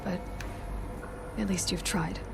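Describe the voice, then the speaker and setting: A woman's voice: on the low side, steady tone, slow, creaky, background noise. A woman playing a blunt, determined young warrior in a video game cutscene, earnest and dryly sarcastic. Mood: stern.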